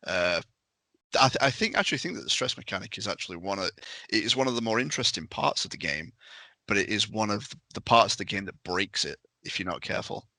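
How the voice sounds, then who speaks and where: A man's voice: lowish voice, expressive, fast, pushed and bright, dry recording. A man in a casual podcast discussion, talking emphatically as a game master and acting out his examples.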